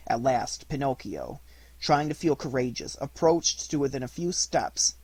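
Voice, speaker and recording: A man reading a storybook as scripted audiobook narration, in deliberate, measured phrases. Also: mid-range voice, expressive, darkish tone, breathy edge, no echo. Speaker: audiobook reader.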